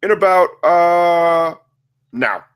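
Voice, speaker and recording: A man acting a casual, sheepish father in his fifties at an animated comedy table read. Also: sits high, very slow, clear, resonant tone, heavily acted. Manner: casual, hesitant, brushing off bad news.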